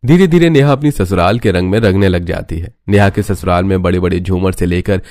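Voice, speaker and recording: A man's voice: sits low, fairly quick, a little mellow, dry recording, studio quality. A man reading a scripted family story as narrator. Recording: music bed under the voice.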